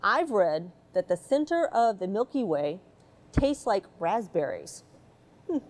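A woman's voice: medium pitch, big pitch swings, measured pace. A middle-aged woman from the United States, an astronaut, reading a picture book aloud to children aboard a space station, with chatty asides between pages. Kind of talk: storybook read-aloud for children. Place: space station module, indoors.

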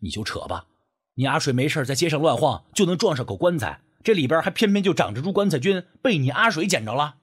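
Man acting a blunt, husky sceptical young man. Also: fairly high pitch, very animated, quick pace. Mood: mocking. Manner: blunt, sarcastic. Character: sceptical, impatient young man mocking his friend.

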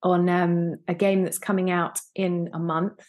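Lower-pitched woman chatting in an interview. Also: little pitch movement, measured pace, darkish tone. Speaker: guest, actor. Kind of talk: casual interview conversation. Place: indoor room, on a video call.

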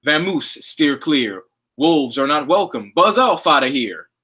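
A man voicing a gruff, bossy male ram in a read-aloud storybook, bellowing orders to chase someone off. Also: a little high, big pitch swings, a little slow, sharp tone, heavily acted. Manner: bellowing, blustery.

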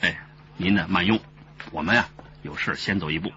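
An actor playing a jovial, smooth-talking boss who haggles effusively in a Chinese radio drama, peppered with interjections. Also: male voice, deep voice, a little fast, pushed and bright, a little hiss or hum. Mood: brisk, persuasive. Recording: dull radio drama audio with background music.

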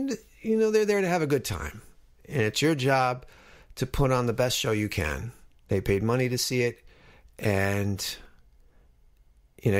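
A middle-aged man hosting a podcast, talking in a casual, reflective way. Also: medium pitch. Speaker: podcast host.

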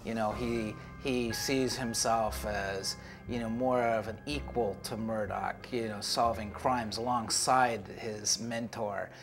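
A man's voice: on the low side, fairly even intonation, takes it slowly, hardly any pauses, fairly bright tone, faint music behind. A man in his forties talking in an interview about creative work, recorded indoors. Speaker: show creative team member. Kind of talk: interview talk about making a series. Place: indoor office with artwork on walls.

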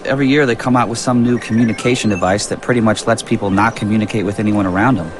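A young actor playing a dry, grumbling yet idealistic film graduate, talking casually in an animated drama. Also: male voice, fairly low pitch, fast, music in the background, natural voice. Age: young adult. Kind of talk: animated comic drama, conversational.

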